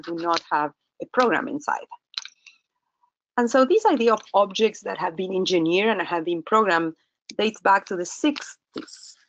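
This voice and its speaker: A woman in her fifties with an Argentine accent lectures over slides, talking in a loose, conversational way and putting questions to her listeners. Her pitch sits in the middle for a woman. The webinar audio comes through slightly dull and muffled. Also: slight background noise. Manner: conversational, asks the audience questions, some hesitations. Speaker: professor giving a webinar lecture. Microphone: computer microphone in an online webinar.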